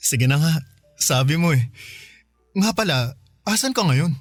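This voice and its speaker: A man's voice: a little high, very animated, slow pace, husky, no room sound, faint music behind, full character voice. A shy, smitten young man talks in a close, dry studio sound. He is insecure and hesitant, stumbling over his words as in a radio love story. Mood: hopeful, shy. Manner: hesitant, stammering, bashful. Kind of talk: radio drama dialogue.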